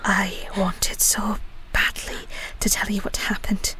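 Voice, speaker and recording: A woman's voice: mid-range voice, little pitch movement, takes it slowly, poor audio. Woman acting a bitter, whispery young werewolf woman. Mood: bitter, hurt. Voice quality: breathy, whispery. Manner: hushed, biting, confrontational. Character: bitter, defiant young female werewolf, witch's daughter. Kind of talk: dramatic audio roleplay acting.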